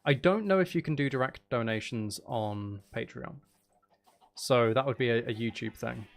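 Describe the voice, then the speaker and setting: Creaky-voiced man chatting on a stream. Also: mid-range voice, normal speed. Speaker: streamer hosting a live stream. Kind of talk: casual live-stream chat with viewers.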